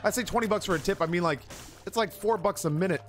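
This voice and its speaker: A man's voice: sits high, fast, faint music behind. A man in his thirties who streams, telling stories in a rambling, profane, self-mocking chat. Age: 30s. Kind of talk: casual storytelling chat.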